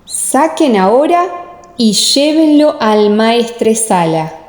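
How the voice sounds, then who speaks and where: Woman as authoritative holy teacher, male figure, solemn, emphatic, slightly high voice, Bible audio drama. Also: big pitch swings, slow, echoey room, in a large hall. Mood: commanding.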